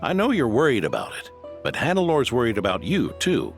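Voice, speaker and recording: An actor playing a kindly old knight and protective grandfather, gravelly and reassuring. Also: mid-range voice, wildly swooping pitch, a little fast. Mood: scolding gently. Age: older. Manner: warm, reassuring, gently scolding. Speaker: game character. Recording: game audio with music behind.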